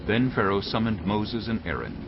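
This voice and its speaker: Low-voiced male scripture narrator. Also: big pitch swings, normal speed. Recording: phone-like narrow band, prominent music.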